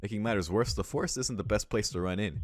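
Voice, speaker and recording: A man with a fairly low voice reads game narration aloud and drops in casual comments. The sound is close and very dry. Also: quick pace, no room sound. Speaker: let's play host. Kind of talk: reading visual novel narration aloud with casual asides.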